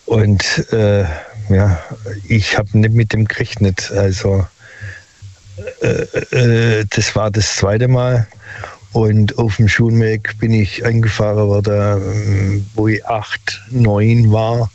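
An older man calls in and tells his story haltingly, with many filler sounds. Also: fairly low pitch, flat delivery, a little mellow, no room sound. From Stuttgart area. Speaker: caller. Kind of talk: phone-in storytelling. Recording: dull lo-fi phone line.

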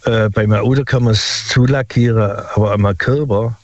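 An older man calls in and tells his story haltingly, with many filler sounds. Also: lowish voice, steady tone, no room sound. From Stuttgart area. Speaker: caller. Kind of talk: phone-in storytelling. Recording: dull lo-fi phone line.

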